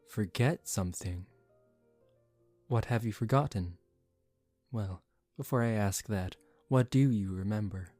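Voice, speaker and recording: A man playing a composed young aristocratic vampire in a soft-spoken ASMR roleplay, calm, formal and gently commanding, protective yet secretive. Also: fairly low pitch, measured pace, long pauses, no room sound, soft background music. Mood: gentle, reassuring.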